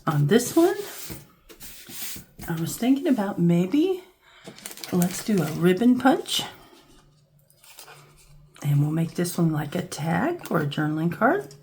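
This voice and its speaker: A woman with a scratchy, hoarse voice from a cold, casually talking through a craft project as she works, thinking aloud. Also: fairly low pitch, slow, long pauses. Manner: relaxed, thinking aloud while working with her hands. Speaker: craft channel host. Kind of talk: casual crafting tutorial narration.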